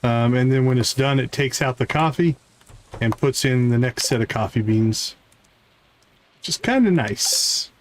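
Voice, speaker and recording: Male game streamer, mid-pitched, informal explainer thinking aloud, faint noise behind. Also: lively intonation, unhurried. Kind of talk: casual live gameplay commentary, explaining as they play.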